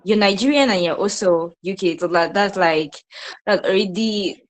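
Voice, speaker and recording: A woman's voice: medium pitch, moderate pace. Hesitant woman. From Cameroon. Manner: hesitant, searches for words. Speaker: program participant asking a question.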